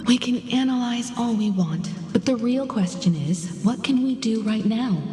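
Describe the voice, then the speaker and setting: A woman's voice: on the high side, moderate pace, warm tone, close to an everyday voice. Woman acting direct crew leader in reverb. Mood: concerned. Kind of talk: video game voice acting. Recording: echoing reverb, music behind.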